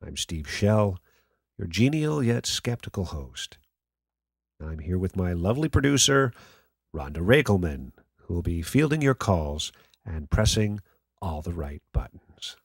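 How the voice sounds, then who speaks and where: A man playing a sardonic, sceptical late-night radio host, with a wry, theatrical manner and a husky voice. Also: low voice, a little slow, no echo, studio quality, heavily acted. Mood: wry, grandiose. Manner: wry, theatrical, deadpan sarcasm. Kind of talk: radio play acting as talk host.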